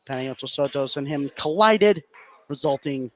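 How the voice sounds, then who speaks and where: A man's voice: a little high, animated, moderate pace. A man doing live play-by-play commentary of a basketball game for radio. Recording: clear background gym noise. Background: gym ambience of a live game.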